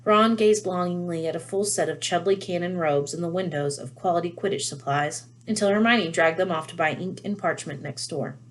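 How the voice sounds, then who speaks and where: A woman reading a children's novel aloud in an expressive storytelling style. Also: on the low side, a little fast, few pauses. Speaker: read-aloud reader.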